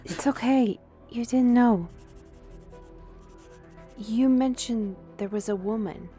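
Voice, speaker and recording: A gentle, patient female questioner, as a woman acts her out, with a high voice and an unhurried pace. Soft music plays behind her in a fantasy audio drama.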